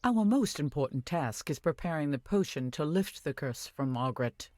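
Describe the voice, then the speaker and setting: An actress playing an older village wise woman in a video game, caring and earnest, giving measured instructions. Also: low voice, lively intonation, darkish tone, no room sound. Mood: earnest, urgent. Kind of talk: scripted video game dialogue. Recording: music behind the voice.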